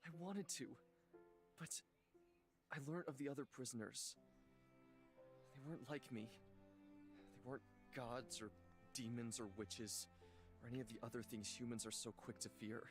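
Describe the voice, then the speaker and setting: An actor voicing a gentle, weary immortal healer haunted by old suffering, speaking slowly and softly in a dramatic visual novel style. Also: male voice, medium pitch, fairly even intonation, natural voice. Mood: anguished, grave. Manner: slow, halting, soft-spoken confiding. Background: background music.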